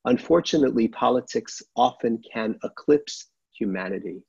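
A middle-aged man, a rabbi, giving a measured, earnest sermon from a sunlit room at home over a laptop webcam. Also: mid-range voice, gravelly, darkish tone, husky, no echo. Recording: dull, dry webcam audio.

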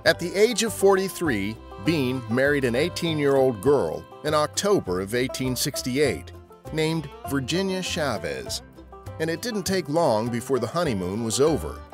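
A man narrates scripted history for a podcast. His medium-pitched voice moves with lively intonation, telling the tale over faint background music. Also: normal speed.

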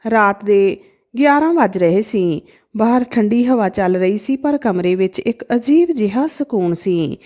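A woman narrating a sad moral story from a script in a gentle, emotive storytelling manner. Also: medium pitch, animated, unhurried.

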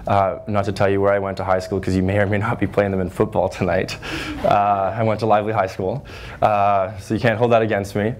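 A man in his twenties from Northern Ontario with a loud voice, giving an earnest talk to a high school class with lots of fillers and restarts. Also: flat delivery, brisk. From Northern Ontario, Canada. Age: 20s. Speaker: guest speaker. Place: high school classroom with chalkboards, audience seated.

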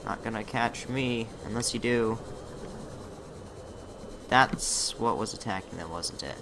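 A young man commentating his own gameplay in a casual, chatty way, muttering to himself, his voice slightly rough from a recent illness. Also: lowish voice, slow, noisy background. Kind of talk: casual let's-play commentary.

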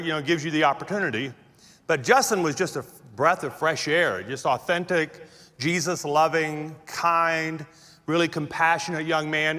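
A man's voice: fairly high pitch, bright, forceful tone. Middle-aged guy preaching from a big church stage, kinda jokey, long reverb in the room. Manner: chatty and joking, laughing at his own jokes. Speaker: pastor. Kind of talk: sermon. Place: large church stage indoors.

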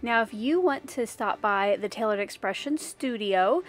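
A woman's voice: on the high side, animated, normal speed. A woman in her forties, a craft vlogger, chatting in a friendly, upbeat way into a phone held at arm's length. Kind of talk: casual vlog chat and voice-over narration. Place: inside a car and outdoors at a rest area.

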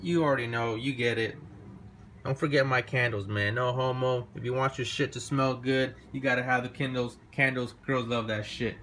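A man's voice: medium pitch, fairly even intonation, normal speed, fairly bright tone, a little hiss or hum. A man, giving a laid-back, boastful tour of his bedroom, filmed on a handheld phone. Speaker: vlogger giving a room tour. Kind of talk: casual filmed room tour. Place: small bedroom at home, indoors. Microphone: handheld phone camera.

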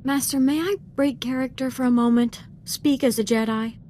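A woman's voice: sits high, fairly even intonation, normal speed, darkish tone, slight background noise, natural voice. A woman playing a humble, self-doubting young Jedi apprentice in a video game, speaking formally and earnestly. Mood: confessional, hushed.

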